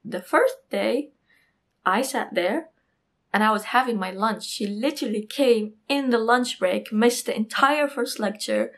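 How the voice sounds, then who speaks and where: A woman's voice: on the high side, a little slow. Young saudi woman, arabic first language, storytime vlog in a small room, does all the voices in her story. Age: young adult. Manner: animated storytelling, acts out quoted dialogue. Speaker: architecture vlogger. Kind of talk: storytime monologue.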